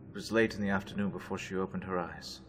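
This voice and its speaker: A man narrating a classic radio drama, reading journal entries in a measured, low voice. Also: fairly even intonation. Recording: long reverb, faint music.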